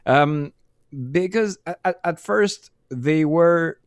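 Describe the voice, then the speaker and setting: A middle-aged man with a French accent, a musician guest chatting casually and often pausing to search for English words. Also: a little high, smooth, no room sound. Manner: halting, many restarts and fillers, searching for English words. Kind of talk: casual interview chat.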